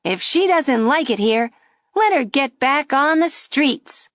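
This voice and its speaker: A woman voicing a loud jeering wooden dummy. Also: sits high, lively intonation, takes it slowly, no echo, clean studio sound, pitched above the performer's own voice, full character voice. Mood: taunting. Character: rude brash male ventriloquist's dummy, insulting.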